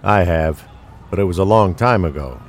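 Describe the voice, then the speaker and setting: A man playing a gruff, weary older police detective near retirement in an audio drama, blunt and dry. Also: low-pitched, expressive, normal speed, slight background noise. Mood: gruff, businesslike.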